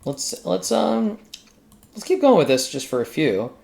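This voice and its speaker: A man giving a casual coding walkthrough, thinking aloud in a halting way as he works. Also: sits high, sing-song, gravelly, a little mellow. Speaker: tutorial host, accountant who codes.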